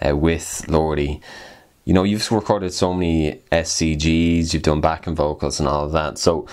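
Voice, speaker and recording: Very deep-voiced man interviewing. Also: fairly even intonation, normal speed, darkish tone. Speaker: podcast host, interviewer. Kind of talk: casual interview.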